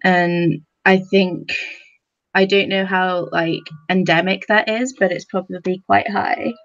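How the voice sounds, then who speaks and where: A woman speaking as a podcast panelist in a casual discussion, with a halting, thoughtful delivery. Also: on the low side, fairly even intonation, no room sound, lo-fi sound.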